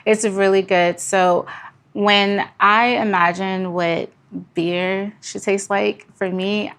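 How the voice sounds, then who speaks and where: A woman's voice: medium pitch, little pitch movement, takes it slowly, fairly bright tone, clear, resonant tone. A woman chatting informally and candidly as the guest in a podcast interview. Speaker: podcast guest, brewery founder. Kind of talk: casual interview chat.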